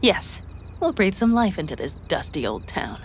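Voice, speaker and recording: A woman's voice: on the low side, big pitch swings, moderate pace, a little hiss or hum. An actress playing a hot-tempered, loyal young nomad woman, blunt and sweary, in naturalistic video game dialogue. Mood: eager, commanding.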